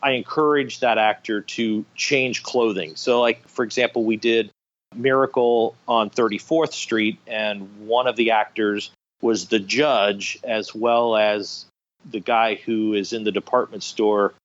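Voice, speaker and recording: A man's voice: lowish voice, steady tone, a little slow, muffled recording. A man speaking as an interview guest, explaining things conversationally with illustrative anecdotes. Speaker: podcast guest, radio theatre director.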